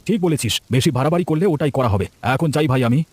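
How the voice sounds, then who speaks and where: A man's voice: fairly high pitch, rapid-fire, a little mellow, dry recording, close to an everyday voice. A man voicing an aggrieved, complaining village husband in a cartoon. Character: aggrieved village husband, sore over an insult. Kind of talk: Bengali village cartoon voice acting.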